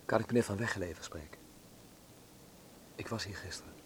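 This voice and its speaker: An actor in a Dutch radio drama playing a troubled, inwardly anguished man in wartime, speaking tersely and quietly. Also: fairly low pitch, fast, noisy background, natural voice. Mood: brooding, hushed.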